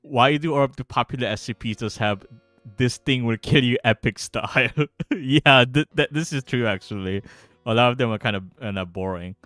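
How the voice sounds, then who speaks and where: A man's voice: mid-range voice, moderate pace, a bit bright, no echo. A man co-hosting a livestream in loose, casual chat. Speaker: livestream co-host. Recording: dry voice with music behind it.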